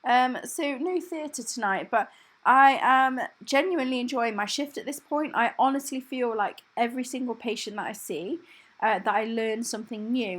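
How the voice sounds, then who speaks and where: A young woman, a junior hospital doctor, talking casually to camera in a vlog. Her voice is croaky from a cough and she sounds tired, with many ums. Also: on the high side. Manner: chatty, tired, filler-heavy.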